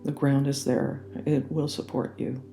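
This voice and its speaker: A meditation guide leading a guided relaxation in a gentle, soothing and unhurried manner. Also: medium pitch, flat delivery, vocal fry, over music. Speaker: yoga nidra meditation guide.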